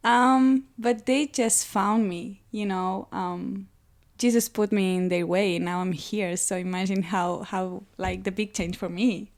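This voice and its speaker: A woman's voice: medium pitch, steady tone, a little slow. A woman in her twenties from Spain, speaking English with a Spanish accent and hesitating as she searches for words. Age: 20s. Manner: hesitant, repeats words while searching for them. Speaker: podcast co-host.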